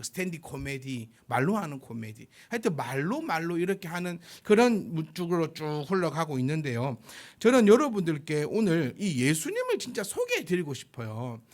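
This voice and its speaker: A man in his forties, a pastor, giving a chatty, informal sermon with a self-deprecating manner. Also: fairly high pitch. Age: 40s. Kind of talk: sermon, conversational and anecdotal.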